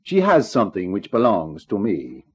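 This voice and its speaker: A man's voice: lowish voice, huge pitch swings, moderate pace, a little mellow, husky, heavily acted. An actor playing a formal, calculating foreign man in a 1950s radio drama. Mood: polite. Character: smooth, formal foreign schemer, middle-aged man. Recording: dull 1950s radio recording.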